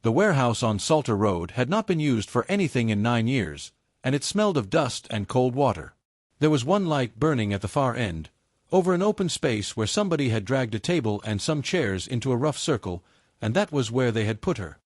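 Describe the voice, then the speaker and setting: Male narrator for dramatic fiction; lively intonation, very dry recording. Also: medium pitch, fairly quick, no echo. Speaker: audiobook narrator. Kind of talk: scripted dramatic story narration.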